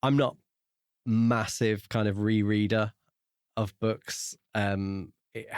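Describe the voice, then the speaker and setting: A man co-hosting a podcast in casual book discussion, talking in a measured way with pauses mid-sentence. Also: lowish voice, fairly even intonation, smooth, no room sound, studio quality. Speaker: podcast co-host.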